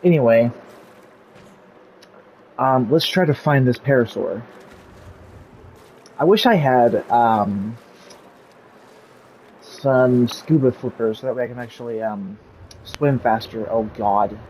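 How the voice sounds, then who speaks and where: A man's voice: mid-range voice, slow pace, long pauses, darkish tone, slight background noise, poor audio. A man narrating a game tutorial over gameplay, rambling with lots of fillers, and he says he is losing his voice. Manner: rambling, filler-heavy, easily sidetracked. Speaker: gaming YouTuber. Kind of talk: casual gameplay tutorial commentary.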